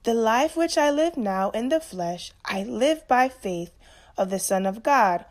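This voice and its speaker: A woman's voice: on the high side, animated, measured pace. A woman reciting a scripted devotional text and scripture.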